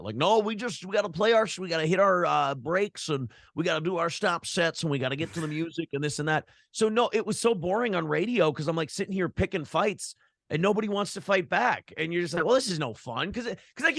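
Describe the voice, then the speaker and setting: Podcast guest, American male, slightly high voice, very dry sound. Casual, sweary storyteller who voices other people's lines as he goes. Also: dry recording. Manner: animated storytelling, acting out imagined quotes. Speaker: podcast host being interviewed, former radio broadcaster. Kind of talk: casual, profane interview chat.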